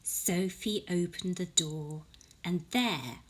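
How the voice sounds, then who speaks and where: Woman, children's story reading, unhurried, slightly low-pitched, expressive, questioning asides to young listeners. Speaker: teacher reading a picture book aloud.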